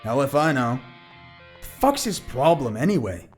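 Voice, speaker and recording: A man playing a sardonic teenage high-school boy in an audio drama, sarcastic, slangy and foul-mouthed. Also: very animated, moderate pace, soft background music, close to an everyday voice. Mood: irritated.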